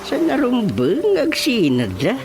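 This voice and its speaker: A man playing a deliberate village chairman who mediates quarrels, in an Ilocano radio comedy drama. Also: very high voice, wildly swooping pitch, darkish tone, a little hiss or hum, exaggerated voice. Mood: measured.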